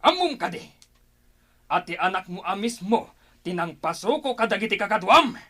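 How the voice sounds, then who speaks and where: Male actor as righteous chieftain, husky, animated pitch, stern, commanding, radio drama. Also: moderate pace, no room sound, heavily acted. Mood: fierce.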